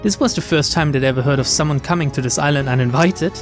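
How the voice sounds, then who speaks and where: A man's voice: a little high, quick pace. A man reading a visual novel aloud as a streamer, theatrical, with casual asides and game music and rain behind him. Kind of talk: dramatic narration with casual commentary. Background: game music and rain sounds.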